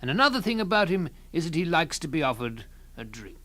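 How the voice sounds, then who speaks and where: Animated, curious gentleman storyteller. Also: on the high side, fast talker. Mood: genial, curious. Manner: expressive, genial, inquisitive. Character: sociable, curious gentleman narrator recounting an encounter. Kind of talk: dramatised literary narration and dialogue.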